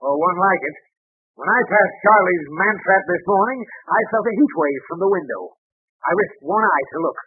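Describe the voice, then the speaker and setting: A high, husky male voice plays a blustering American boss, pompous and bitingly sarcastic, in 1930s radio comedy style. The sound is a muffled, narrow old broadcast recording. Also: animated, normal speed. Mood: indignant. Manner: pompous, withering, barking.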